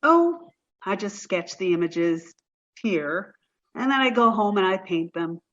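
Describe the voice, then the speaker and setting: An actress playing an apologetic, grieving forty-three-year-old artist in a play reading, with a halting, emotional delivery. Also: female voice, mid-range voice, lively intonation, fairly bright tone, highs cut off. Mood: apologetic, awkward.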